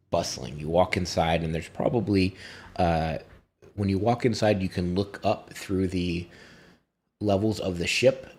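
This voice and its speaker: A man improvising narration as a game master, halting often as he finds his words. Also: low-pitched, darkish tone. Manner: halting, pausing to find words.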